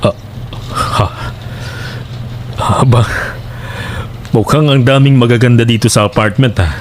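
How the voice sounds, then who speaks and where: A sly male lodger played by an actor in a horror audio drama, with a mid-pitched voice. He is insinuating and lecherous under a polite surface. Some noise sits behind him. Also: a little slow, natural voice. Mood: leering, sly. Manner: chuckling, leering, insinuating. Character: lecherous, sly male lodger returning to his old apartment. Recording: noticeable background noise.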